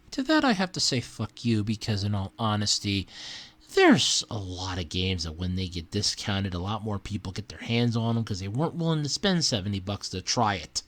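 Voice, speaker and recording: Podcast host, wildly swooping pitch, bright forceful voice, sarcastic, sweary, reading aloud with off-the-cuff asides. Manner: animated, sarcastic, exclaiming; casual, profane. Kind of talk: reading a satirical article with casual asides.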